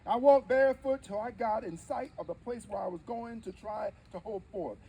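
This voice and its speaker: A man playing a dignified, wry nineteenth-century preacher, telling his story in emphatic theatrical oratory with deliberate dramatic pauses. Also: high-pitched, vocal fry, dark tone, slight background noise. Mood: earnest, wry. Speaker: live history interpreter. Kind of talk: theatrical first-person oratory before an audience.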